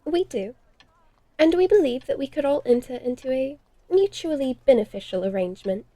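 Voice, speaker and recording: An actress plays a sardonic vampire lady in a Western horror audio drama. Her voice sits fairly high yet stays mellow, with prim, formal diction and a dry, haughty air. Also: animated, normal speed, no echo. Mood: polite, assured.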